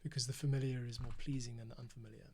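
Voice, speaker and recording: A man's voice: mid-range voice, level tone, rapid-fire, vocal fry, husky. A man giving casual livestream commentary as he works, thinking aloud and explaining each step. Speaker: composer and livestream host. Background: music playback.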